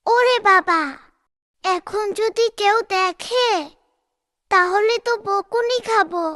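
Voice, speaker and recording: Someone doing a little cartoon boy, super high and bright, slow careful talk. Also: no room sound, studio quality, heavily acted. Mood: nervous. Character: little boy, playful, frightened of scolding, then sorry. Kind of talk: children's cartoon voice acting.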